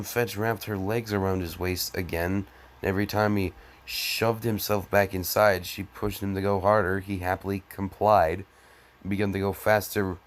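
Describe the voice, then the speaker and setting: A man giving a mocking dramatic reading, breaking off into crude, exasperated, sarcastic commentary. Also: deep voice. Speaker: comedic fan fiction reader.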